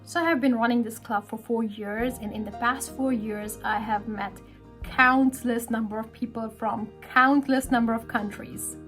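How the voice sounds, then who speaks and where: A woman's voice: fairly high pitch, steady tone, moderate pace. A woman from Pakistan, an English teacher, narrating a vlog in a friendly storytelling way. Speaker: English teacher and speaking club organizer. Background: soft background music.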